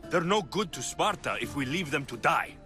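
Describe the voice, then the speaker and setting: An actor playing a brooding, defiant ancient Greek warrior in a video game, earnest and wry. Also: male voice, on the high side, expressive, normal speed, natural voice. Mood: concerned. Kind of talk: cinematic video game acting. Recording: music under the voice.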